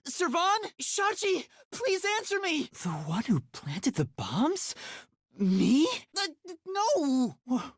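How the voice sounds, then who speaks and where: A man voicing an anxious, earnest young male detective in a video game, with a soft, light, boyish voice and a hesitant, polite delivery. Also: extremely high pitch, huge pitch swings, takes it slowly, no room sound, clean studio sound, heavily acted. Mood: flustered, alarmed. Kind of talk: English video game voice acting.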